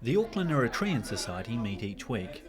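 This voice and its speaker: A man's voice: mid-range voice, sing-song, moderate pace, crowd noise. A man reading scripted documentary narration. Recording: music playing behind the voice. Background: music.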